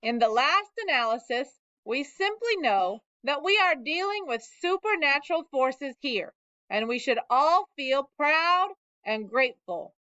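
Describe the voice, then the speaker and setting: A woman doing a pompous booming fair loudspeaker. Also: expressive, no echo, full character voice. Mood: grand. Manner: pompous, slow and grand. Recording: dull lo-fi dry recording.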